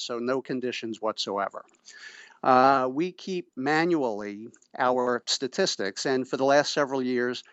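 A middle-aged man giving measured, formal and emphatic explanations as an expert guest in an interview. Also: mid-range voice, a bit bright, no room sound, dull audio. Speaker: interviewee, pretrial services official and former prosecutor. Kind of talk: explanatory radio interview answers.

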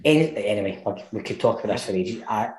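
A man's voice: fairly low pitch, expressive, fast, darkish tone. Scottish man in his late thirties, echoey room. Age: late 30s. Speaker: podcast host. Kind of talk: casual sweary banter.

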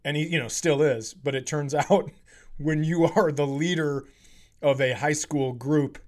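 A man's voice: on the high side, a little slow, darkish tone. A man hosting a comedy podcast, telling stories in a loose, sweary, casual chat. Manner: loose, swearing, laughs readily. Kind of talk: casual comic storytelling chat.

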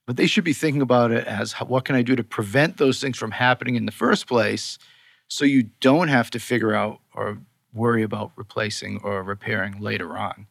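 Boston man, gravelly edge, dry close sound, conversational guest explaining his work. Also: mid-range voice, fairly quick, fairly bright tone, no room sound. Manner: explanatory, conversational, many "you know"s. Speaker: skincare company co-founder, guest. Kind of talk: casual interview talk.

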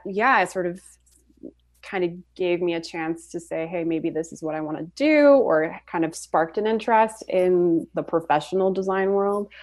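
A young woman from Switzerland talks through her path as an artist, unhurried and chatty. Her voice sits on the low side for a woman. She fills pauses with soft ums and little laughs. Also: no echo. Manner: relaxed storytelling, frequent soft laughs and ums. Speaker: podcast guest, graphic designer and artist. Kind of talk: casual interview chat.

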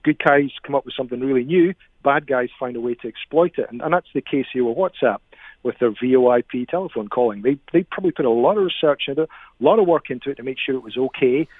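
A man's voice: mid-range voice, fast talker, no echo. A man with a Scottish accent, a cybersecurity expert explaining things emphatically as a phone-in guest. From Scotland. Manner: emphatic, repeats key phrases. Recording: tinny phone line.

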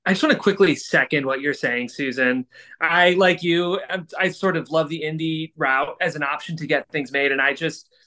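A man's voice: fairly high pitch, moderate pace, bright, forceful tone. A man talking conversationally as a co-host, in an encouraging, affirming manner. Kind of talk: conversational panel talk.

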